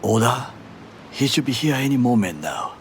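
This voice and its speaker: An actor playing a grave, honourable corporate warrior in a video game, with a Japanese accent, a breathy hush and formal, deliberate phrasing. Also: male voice, mid-range voice, animated, slight background noise, lightly acted. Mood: resolute. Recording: music behind the dialogue.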